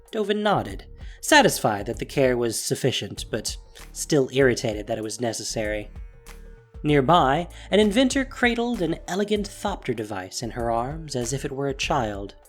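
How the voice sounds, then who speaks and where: A man narrating a scripted audio drama story with theatrical phrasing and emphatic stresses. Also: fairly high pitch. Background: music.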